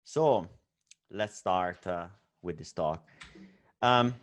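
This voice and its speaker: A man's voice: low-pitched, drawn-out words. A man from Italy with an Italian accent giving a remote conference talk, full of "uh" hesitations and tagging his points with "right?". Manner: many fillers and hesitations, frequent "right?" tag. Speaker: conference speaker.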